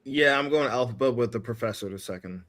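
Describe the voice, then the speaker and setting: A man's voice: medium pitch, fast, fairly bright tone. A man in casual podcast chat, commenting in slangy, amused fashion. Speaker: podcast panelist.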